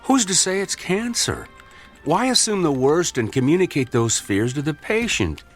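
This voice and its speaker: An animated, exasperated senior doctor. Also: male voice, on the high side, normal speed, soft background music. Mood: chiding. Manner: sarcastic, chiding. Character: exasperated, caring senior male doctor, sardonic mentor. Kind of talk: radio drama acting.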